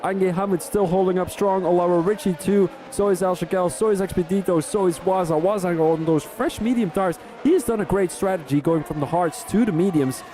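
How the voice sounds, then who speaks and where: A man from Belgium, doing live race commentary for a sim racing league, rambling in chatty asides and rising to excited calls on overtakes. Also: high voice, brisk, hardly any pauses, soft background music.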